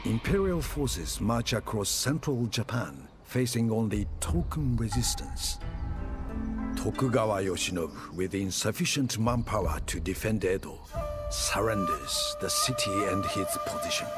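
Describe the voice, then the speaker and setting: Grave samurai war chronicler for a game, lowish voice, lively swings, solemn and theatrical, loud music under it. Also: male voice, measured pace. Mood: solemn, measured. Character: grave male war chronicler of feudal Japan. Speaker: narrator. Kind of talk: dramatic scripted cinematic game narration. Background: cinematic music.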